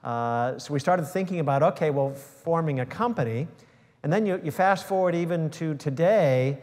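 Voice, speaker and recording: A man's voice: fairly high pitch, moderate pace, a little mellow, big-room sound. A middle-aged man speaking on a stage panel, explaining steadily with frequent "you know" fillers. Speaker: tech company CEO and professor on panel. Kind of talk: panel discussion. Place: large auditorium stage, seated. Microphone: lapel mic.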